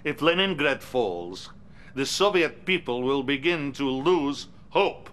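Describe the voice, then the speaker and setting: An actor playing a scheming Soviet premier who gives orders in a grave, deliberate way, sometimes dropping to a menacing hush. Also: male voice, medium pitch, animated. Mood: firm.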